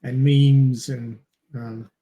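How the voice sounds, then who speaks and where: A man co-hosting a podcast in a casual, halting way with many pauses. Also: mid-range voice, flat delivery, mellow. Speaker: podcast co-host.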